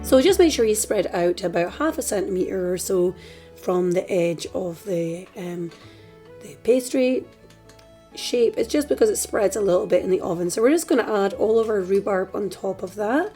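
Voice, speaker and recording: A Scottish woman hosting a cooking tutorial in a chatty, relaxed way, her voice a little hoarse from a dry throat. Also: mid-range voice, normal speed, soft background music. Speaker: cooking channel host. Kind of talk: casual cooking tutorial voice-over.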